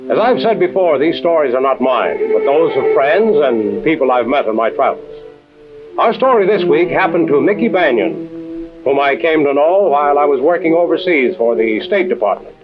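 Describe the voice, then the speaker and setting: An actor playing a composed, authoritative intelligence chief and adventure storyteller, measured and dry, in a vintage radio drama with tinny sound. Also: male voice, fairly high pitch, very animated, music in the background. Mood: inviting, deliberate. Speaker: host and narrator-character. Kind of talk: old-time radio adventure drama acting.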